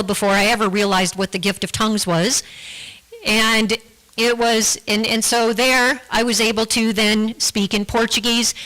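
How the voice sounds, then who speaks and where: Church testimony, woman, bright and a bit high, earnest, stops and restarts often. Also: poor audio. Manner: earnest, with frequent restarts and repeated words. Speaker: guest speaker giving a testimony.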